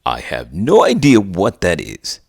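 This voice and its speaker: A man puts on a low-pitched cartoon horse with a wildly swooping delivery. He is a cheeky, curious storybook sidekick, theatrical and unhurried as he reads to children. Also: huge pitch swings, gravelly, darkish tone, slightly rough, no echo, clean studio sound, full character voice. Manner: theatrical, exaggerated. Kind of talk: read-aloud children's storytelling with banter.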